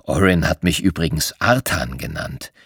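A man voicing a kindly old bear spirit living in a flying rug, speaking gently and calmly. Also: extremely low pitch, moderate pace, gravelly, breathy edge, no echo, clean studio sound, pitched below the performer's own voice, full character voice. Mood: gentle. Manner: gentle, chuckling, calm.

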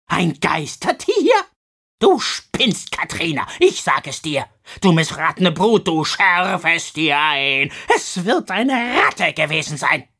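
A spiteful old guardian woman screeches at her ward, spitting with rage, as a woman reads her. Her voice climbs high and swings wildly, with a husky edge. She takes her time, hammering each insult. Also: big pitch swings, slow pace, a bit bright, full character voice. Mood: scolding. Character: shrill, hateful old female guardian.

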